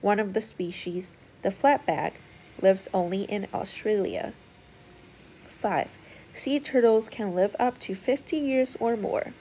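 A woman's voice: medium pitch, slow, warm tone, a little hiss or hum, poor audio. A woman storyteller reading a children's picture book aloud as scripted narration.

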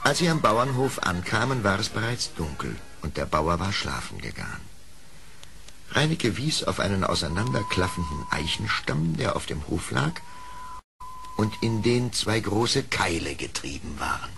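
A man's voice: lowish voice, sing-song, moderate pace, creaky, music in the background. A man narrating a children's radio play in a scripted storybook style.

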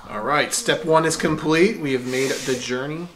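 A man's voice: a little high, moderate pace. A father filming a casual family vlog in a hotel room, talking in a playful, joking way.

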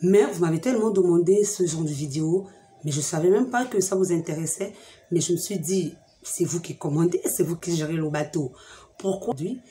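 Young woman vlogger, down with a cold, mellow tone, lively, teasing, echoey room. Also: on the low side, a little fast, slight background noise. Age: young adult. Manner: lively, talks straight to viewers, teasing. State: has a cold. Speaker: beauty vlogger. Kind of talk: chatty direct-to-camera vlog. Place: room at home with a fridge and sofa.

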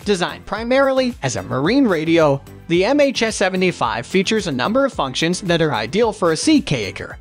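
A man's voice: high-pitched, very animated, a little fast, soft background music. A man reading a scripted product review voice-over in a promotional, list-style manner.

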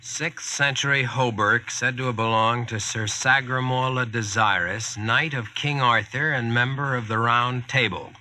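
A man's voice: medium pitch, steady tone, unhurried, hardly any pauses, pushed and bright, a little hiss or hum, low-quality recording. An actor playing a plain-talking young American ex-serviceman, colloquial and wry, in 1940s radio style. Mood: wry, cocky.

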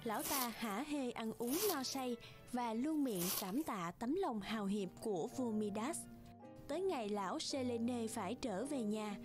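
A woman tells a cartoon fairy tale in a rather high voice. It is scripted storytelling, with music playing clearly behind her. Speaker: narrator.

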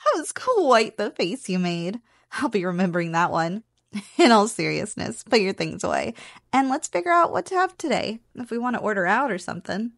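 A woman's voice: mid-range voice, very animated, normal speed, gravelly, fairly bright tone, dry recording, studio quality, exaggerated voice. A woman playing a playful, teasing slime girl roommate in a casual, chatty ASMR roleplay. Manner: teasing, chatty, conversational with chuckles. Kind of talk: ASMR roleplay, one-sided casual conversation.

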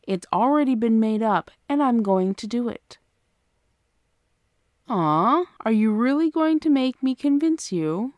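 A woman playing an affectionate, protective, gently bossy girlfriend in an ASMR roleplay, speaking tenderly and coaxingly. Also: a little high, expressive, a little slow, no room sound, muffled recording. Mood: loving, insistent.

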